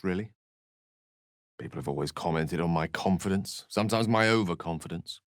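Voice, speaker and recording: A witty, dramatic man of magic in a fantasy video game. His pitch swings expressively as he turns florid, articulate phrases with wry self-assurance. The voice sits a little low and is captured dry, in theatrical character style. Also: no echo. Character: eloquent, witty, self-assured human wizard, bookish and dramatic.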